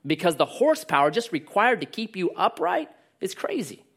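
A man preaching a sermon with a loud voice that carries, telling stories and cracking jokes. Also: sits high, normal speed, creaky, slightly rough. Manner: animated storytelling with jokes. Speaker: pastor.

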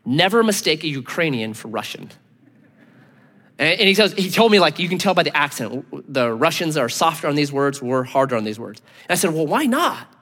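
A man preaching a sermon as a pastor, earnest and emphatic, dropping to low, intense tones for weight. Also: fairly bright tone. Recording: long hall reverb.

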